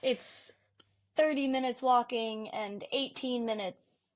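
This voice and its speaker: A young woman co-host in an easygoing chat, her pitch a little above average. She rambles, packs in fillers, and gets animated as she explains things. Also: slow pace. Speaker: podcast co-host. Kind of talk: casual chat.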